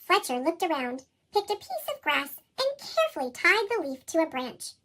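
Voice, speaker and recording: Female storybook narrator, chipmunk effect, extremely high pitch, very lively and expressive read-aloud. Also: moderate pace.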